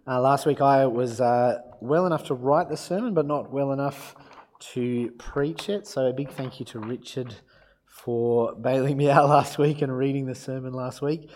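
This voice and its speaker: A man preaching a sermon and reading scripture aloud, his voice croaky from being unwell, relaxed and self-deprecating. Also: mid-range voice, normal speed. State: croaky from illness.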